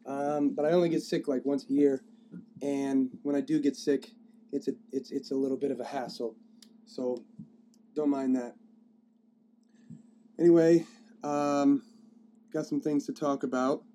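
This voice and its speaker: A hoarse man with a cold hosting his own podcast, rambling casually with many fillers and restarts as he streams live from his phone. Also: mid-range voice, fairly even intonation, unhurried, long pauses, warm tone. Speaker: podcast host. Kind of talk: casual solo podcast talk.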